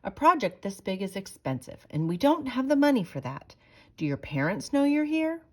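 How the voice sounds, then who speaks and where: A woman reads the part of a dismissive landlord in a children's storybook. He is a reluctant, skeptical man who answers curtly, with lively inflection. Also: mid-range voice, normal speed, voice pushed up.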